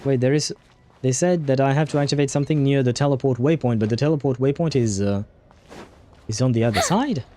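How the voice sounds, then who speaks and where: A man's voice: medium pitch, brisk, mellow, a little hiss or hum. A man giving casual livestream commentary as he plays a game, muttering to himself and swearing now and then. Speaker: livestream host.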